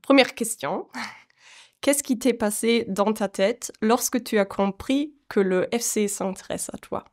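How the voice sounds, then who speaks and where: A woman's voice: on the high side, unhurried, darkish tone. A woman interviewing a footballer, reading out prepared questions. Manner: reads prepared questions, friendly laughs.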